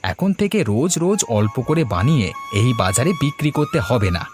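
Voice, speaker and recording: A man's voice: on the high side, sing-song, fairly quick, a little mellow. A man voicing a hardworking village fan seller and family man in a cartoon, earnest and polite. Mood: hopeful. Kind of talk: cartoon voice acting. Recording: music playing behind the voice.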